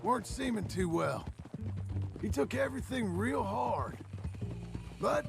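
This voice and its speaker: A gravelly weary ex-outlaw. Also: male voice, high-pitched, animated, a little slow, exaggerated voice. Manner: laconic and wry. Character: weathered ex-outlaw rancher, middle-aged and world-weary. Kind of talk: video game cutscene acting. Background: game music and horse hooves.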